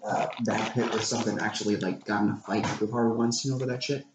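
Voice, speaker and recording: A man's voice: on the low side, steady tone, quick pace. Musing man in an echoey, noisy room. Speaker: co-host. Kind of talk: casual chat. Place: living room, indoors. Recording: echoey living room with background noise.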